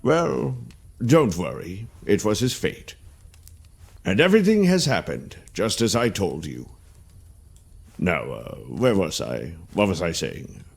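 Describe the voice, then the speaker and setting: A kindly older man with a low, animated voice, telling a storybook tale in a video game. His delivery is gentle and expressive. It rings with long reverb over faint music. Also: slow, gravelly, heavily acted. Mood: gentle, reassuring.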